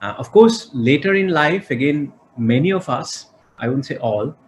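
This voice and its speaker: A man speaking as a panelist on a video call, courteous, measured and articulate. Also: fairly high pitch, expressive, slight background noise.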